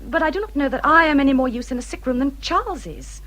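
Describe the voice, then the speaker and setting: A complaining young English wife in a period radio drama. Her voice is high and sharp, swinging widely as she frets and feels put upon. The manner is genteel but aggrieved. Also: expressive, fast. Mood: self-pitying complaint. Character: self-pitying, complaining young married woman, easily put out.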